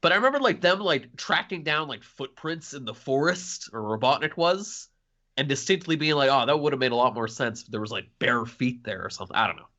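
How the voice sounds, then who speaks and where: A male podcast co-host with a bright, forward voice and lively swings in pitch. He chats casually about movies in loose, informal phrasing. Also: a little fast, lo-fi sound. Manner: informal, peppered with "like". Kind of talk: casual chat, film discussion.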